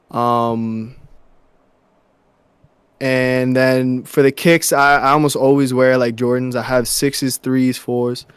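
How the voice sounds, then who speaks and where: A young man from New York, a musician guest in a casual interview, talking softly and haltingly with many fillers and restarts. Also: mid-range voice, clean tone, dry recording.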